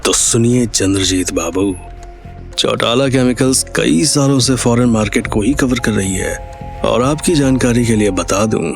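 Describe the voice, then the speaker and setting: A man's voice: mid-range voice, normal speed, vocal fry, soft background music, close to an everyday voice. A man playing a shrewd, commanding senior business partner in an audio drama, assertive and sardonic as he lectures. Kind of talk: Hindi audio drama acting.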